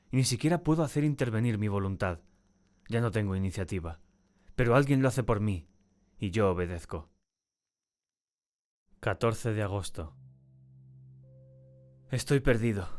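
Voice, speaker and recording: Guy playing a haunted scared man reading his diary, mid voice, slow serious dramatic audiobook style. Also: medium pitch, darkish tone, natural voice. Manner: solemn, deliberate, theatrical. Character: tormented, terrified man confiding in his diary. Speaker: audiobook narrator and actor. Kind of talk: dramatic first-person audiobook narration.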